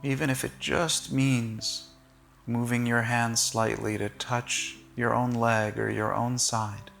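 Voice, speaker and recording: A man leading a guided body-scan meditation in short, gentle, measured phrases with long pauses. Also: medium pitch, flat delivery, vocal fry, soft background music.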